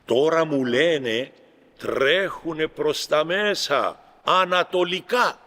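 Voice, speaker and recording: A man with a Greek Cypriot accent preaching as an Orthodox bishop, reading aloud and commenting in deliberate, emphatic short phrases. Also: fairly high pitch, bright, forceful tone. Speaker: Orthodox bishop giving a homily. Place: large reverberant room at a gathering. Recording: reverberant hall with long echo.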